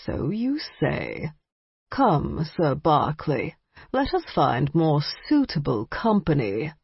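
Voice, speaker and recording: A woman's voice: low-pitched, huge pitch swings, takes it slowly, vocal fry, breathy edge, no room sound, dull audio, exaggerated voice. A woman doing a sneering British vampire aristocrat. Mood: haughty. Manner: sneering, formal and disdainful.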